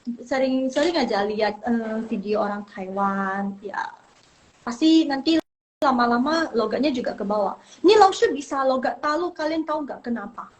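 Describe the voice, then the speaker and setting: Brief: young Indonesian woman teacher, fairly high voice, chatty and reassuring, repeats herself. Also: expressive, moderate pace, poor audio. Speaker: Mandarin teacher. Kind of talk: casual live online lesson.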